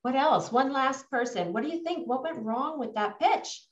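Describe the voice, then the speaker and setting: A woman's voice: high-pitched, expressive, normal speed. A woman with a New York American accent, an executive coach presenting a webinar in an emphatic, self-assured way. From New York, United States. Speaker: executive coach leading a workshop. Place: indoor room on a video call. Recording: dull, roomy, distant webinar audio.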